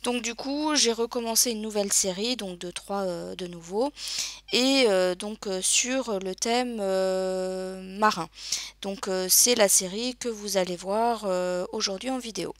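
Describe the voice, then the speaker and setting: Woman, crafting tutorial voice-over, hesitant, many fillers, mid pitch, dry sound. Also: a little slow, dry recording. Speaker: crafting channel host.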